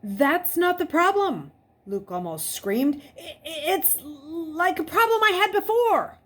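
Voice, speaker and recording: A woman voicing a frightened boy in an audiobook, pleading urgently and haltingly. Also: extremely high pitch, very animated, a bit bright, voice pushed up, exaggerated voice. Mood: desperate protest.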